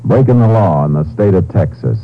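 An actor playing a tough, dry Texas Ranger with a clipped, firm delivery in a 1950s radio crime drama. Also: male voice, low-pitched, expressive, brisk, gravelly. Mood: stern. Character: tough, dry male Texas Ranger, unyielding lawman and narrator. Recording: noisy 1950s radio, narrow thin sound.

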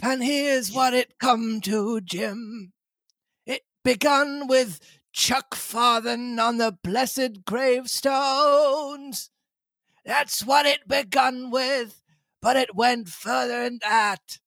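A wild, half-mad old castaway, put on by a woman reading a story, crying out his words in a high, strained voice. He drags each phrase out slowly in a rough sailor's drawl, and the sound is dry and close. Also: a bit bright, no room sound, full character voice. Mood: excited. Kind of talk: storybook read-aloud.

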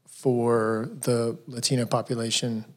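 A man's voice: medium pitch, little pitch movement, measured pace, a little mellow. A man co-hosting a church podcast who asks casual, playfully teasing follow-up questions. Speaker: podcast co-host.

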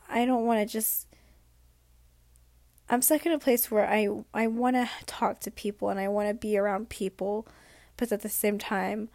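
A young woman who is sick and losing her voice talks through a late-night vlog. Her voice is hoarse and gravelly and at times fades to a whisper, with a flat, even melody. She rambles and reflects, and the sound is dry and close with no room around it. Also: mid-range voice, no room sound. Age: young adult. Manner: rambling, reflective, many fillers.

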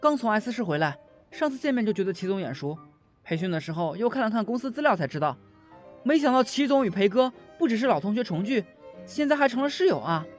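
A high, lively young male voice from an actor plays a junior employee in an audio drama. He is eager, polite and deferential, his pitch moving freely, with faint music behind. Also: fast talker. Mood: eager, grateful. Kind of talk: amateur Chinese audio drama acting.

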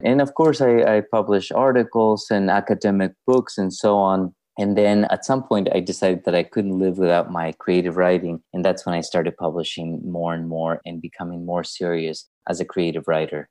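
A very low-voiced man from Peru, whose first language is Spanish, talks through his answers at an easy, reflective pace. He often says "you know" and restarts a word or two as he gathers his thoughts. The sound is dry and close, with no room around it. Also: fairly even intonation, moderate pace, hardly any pauses, dark tone, dry recording. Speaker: guest writer and professor.

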